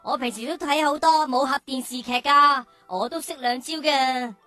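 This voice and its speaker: A woman's voice: high-pitched, steady tone, moderate pace, sharp tone, no echo, soft background music. A woman voicing a mocking, boastful, feisty young calf with a childish, teasing way of talking in a children's radio drama. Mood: boastful, defiant.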